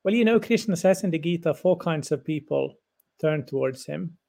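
A man around fifty from Finland, whose first language is Swedish, answers interview questions in a thoughtful, halting way with frequent restarts. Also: a little high, expressive, vocal fry, a little mellow. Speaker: guest lecturer.

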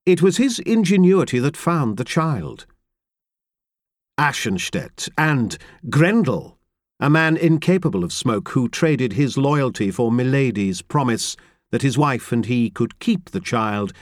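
A man reading a novel aloud in first person, with measured, reflective and grave storytelling from a close studio mic. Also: mid-range voice, expressive, dry recording. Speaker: audiobook narrator. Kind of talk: first-person literary narration.

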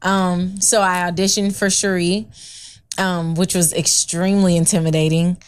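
A woman's voice: lowish voice, flat delivery, moderate pace, clear, resonant tone. A young adult woman, a guest actress, chatting in a friendly, giggly way with lots of fillers. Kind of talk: casual interview.

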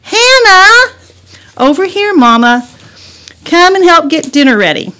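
A woman's voice: high-pitched, lively intonation, normal speed, fairly bright tone, voice pushed up. A woman voicing a mother who calls out bossily to her children in a children's story. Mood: calling, scolding. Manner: calling out, bossy.

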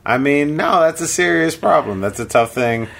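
A man's voice: a little high, expressive, normal speed, vocal fry, a bit bright. A man in his mid-thirties chatting casually as a podcast co-host, with dry, teasing remarks. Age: 30s. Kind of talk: casual chat.

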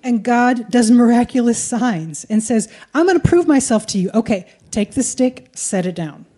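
Woman, preacher, mellow voice, colloquial sermon delivery, short phrases. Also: a little high, expressive, moderate pace. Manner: conversational, colloquial.

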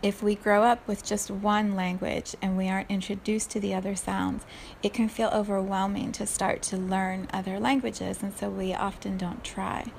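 Woman, 40s, from South Africa; calm reflective solo talk, even and flat in pitch, gravelly texture. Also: slight background noise. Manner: unhurried, thoughtful, laughs softly at herself.

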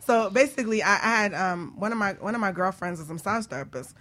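A woman's voice: mid-range voice, animated, fast, a bit bright. A woman talk show host with a mid-range voice. She tells personal stories casually and with animation, slipping easily into teasing, informal banter. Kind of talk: casual personal storytelling and chat.

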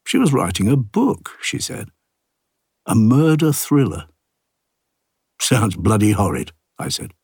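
A man narrating an audiobook as an ageing village artist, a plain-spoken, gruff and reflective loner, with a husky low voice and a wry, understated delivery. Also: very animated, takes it slowly, long pauses, darkish tone, no room sound, clean studio sound, exaggerated voice. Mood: fond, wry. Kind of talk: first-person literary audiobook narration.

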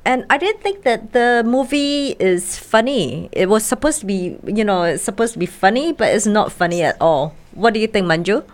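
A woman's voice: medium pitch, lively intonation, normal speed, hardly any pauses. A Singaporean woman in her forties with a Singaporean accent, a comedian guest chatting hesitantly with lots of fillers outdoors. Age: 40s. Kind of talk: casual chat.

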